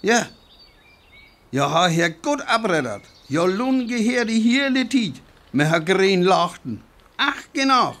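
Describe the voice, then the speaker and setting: An actor with a North Frisian accent playing a jumpy, embittered soldier in a radio play, speaking in gruff, broken phrases. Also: male voice, sits high, fairly bright tone, heavily acted. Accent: North Frisian (Föhr). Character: jumpy, embittered male soldier holed up after defeat.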